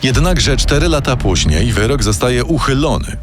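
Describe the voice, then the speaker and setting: A man's voice: mid-range voice, animated, moderate pace, over music. A man delivering scripted, dramatic true-crime narration as the show's narrator.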